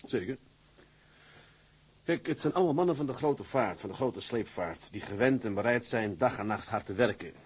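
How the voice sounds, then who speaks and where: A man's voice: medium pitch, lively intonation, normal speed, slightly rough. A man playing a stern, lonely, principled tugboat captain in a radio drama, speaking earnestly and tersely. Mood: firm, earnest. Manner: earnest, terse, matter-of-fact. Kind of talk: Dutch radio drama acting.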